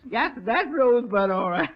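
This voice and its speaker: An actor playing a good-natured, simple, deferential neighbor who drawls, chuckles and muddles words in a 1930s radio comedy. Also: male voice, high voice, slightly rough, full character voice. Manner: drawling, malapropisms, frequent chuckling. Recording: muffled 1930s radio recording.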